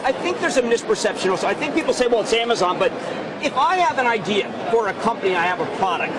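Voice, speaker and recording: High-voiced man interviewing over a loud crowd. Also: big pitch swings, quick pace. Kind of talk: live TV interview. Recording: loud crowd and noise behind.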